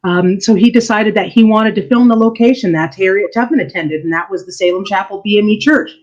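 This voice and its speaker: A woman's voice: mid-range voice, brisk, darkish tone. Woman historian, muffled lo-fi sound. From Canada. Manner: earnest explaining with frequent laughs. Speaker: historian and church archivist, interview guest. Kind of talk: casual interview answers. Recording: dull lo-fi sound.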